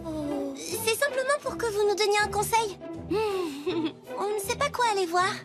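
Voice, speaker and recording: A woman voicing a playful, romantic, scheming schoolgirl in a French cartoon, excitable and theatrical. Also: extremely high pitch, unhurried, over music. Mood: sly, hesitant. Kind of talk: French animated series voice acting.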